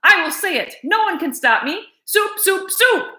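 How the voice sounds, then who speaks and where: A woman voicing a fierce castle cook woman who wails tearfully and loudly. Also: very high voice, expressive, unhurried, pitched above the performer's own voice, exaggerated voice. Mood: wailing. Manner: wailing, tearful, loud. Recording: roomy echo.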